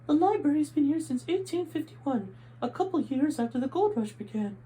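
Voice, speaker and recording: A man's voice: very high-pitched, animated, moderate pace, warm tone, slight background noise, pitched above the performer's own voice. A man voicing an eager, talkative woman librarian in a read-aloud story. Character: chatty middle-aged woman librarian.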